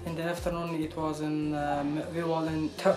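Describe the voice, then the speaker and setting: A woman's voice: deep voice, little pitch movement. A teenage girl from Sweden giving a simple, hesitant recap as an exchange participant. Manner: halting, hesitant. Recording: echoey room with music behind.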